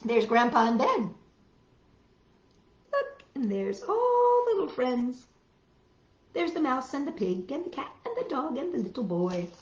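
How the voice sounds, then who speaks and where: Female children's storyteller, lively melodic range, slow pace, dramatic emphasis, roomy lo-fi recording. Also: high-pitched. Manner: simple phrases with dramatic emphasis. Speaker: children's story-time host. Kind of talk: animated read-aloud storytelling for children. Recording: roomy, dull lo-fi home recording.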